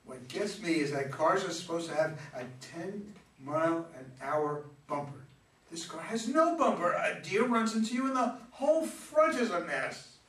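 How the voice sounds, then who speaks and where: Heard from a distance in an echoey room, a man plays an exasperated middle-aged husband in a stage comedy. His fairly high voice swings widely as he argues, defensive and incredulous. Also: animated, moderate pace, fairly bright tone. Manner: argumentative, exclaiming, incredulous. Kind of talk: staged reading of a comedy play. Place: echoey room, mic away from performers. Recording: roomy distant stage recording, faint noise.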